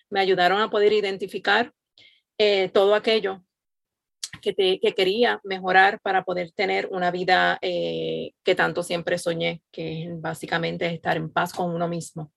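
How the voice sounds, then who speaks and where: A woman from Puerto Rico with a Puerto Rican Spanish accent, a meditation instructor introducing herself calmly on a podcast, with frequent fillers. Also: mid-range voice, gravelly, no room sound. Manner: calm, gentle, with frequent fillers.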